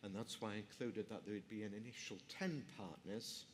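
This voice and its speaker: Halting man answering a panel. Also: fairly low pitch, slight background noise. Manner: halting, with repeated uh fillers. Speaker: pitch presenter answering a panel.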